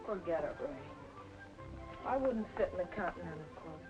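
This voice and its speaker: A woman's voice: on the low side, animated, normal speed, lightly acted. An actress playing Julie, a moody young woman singer, in old radio noir style. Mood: dismissive. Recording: dull old radio recording with music.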